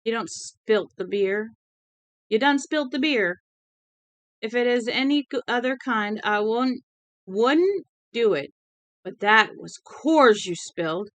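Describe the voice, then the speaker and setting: A crude, volatile little Southern drunk from a novel, played by a woman reader. He rants and threatens in profane bursts, at her middle pitch, recorded very dry and without room sound. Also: steady tone, normal speed, no echo, natural voice. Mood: menacing outrage. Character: small, drunk, menacing young Southern man, crude and volatile.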